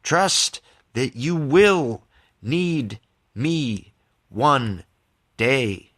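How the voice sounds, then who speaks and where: Slow, bright-voiced man sharing poetry. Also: medium pitch, expressive. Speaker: podcast host and poet. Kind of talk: reading own poems with casual commentary.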